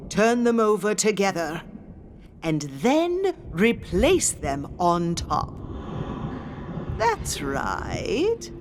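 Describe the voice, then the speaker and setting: An actress playing a haughty, scornful ghost medium who guards a haunted mansion, speaking in a theatrical, condescending monologue. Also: female voice, medium pitch, a little hiss or hum, heavily acted. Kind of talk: theatrical scripted monologue addressed to the listener.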